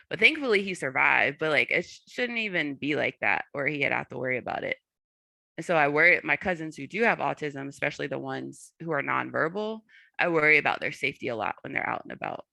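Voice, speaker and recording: Young adult panel guest, earnest and candid, gravelly texture, dry close sound, lots of "like" and "um". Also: medium pitch, sharp tone, dry recording. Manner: frequent "like" and "um" fillers, earnest.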